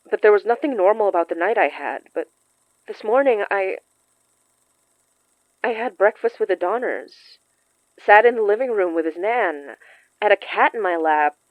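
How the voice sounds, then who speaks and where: A woman acting in a fiction podcast as a shaken, earnest young woman who confides shyly in halting phrases. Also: medium pitch, no room sound, phone-quality sound, natural voice. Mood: wistful. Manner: hesitant, halting, confessional.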